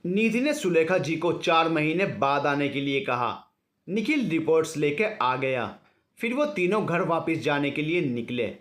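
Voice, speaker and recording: A man's voice: on the high side, animated, a little slow, a bit bright. A man with an Indian accent narrating a scripted story expressively, acting out the dialogue.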